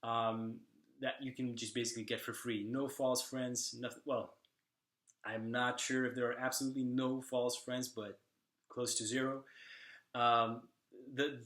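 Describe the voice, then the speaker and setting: A young man from Slovakia, talking casually to the camera in a vlog and often pausing on fillers and restarts. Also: mid-range voice, level tone, a bit bright, roomy sound. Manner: hesitant, many fillers and restarts. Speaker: language vlogger.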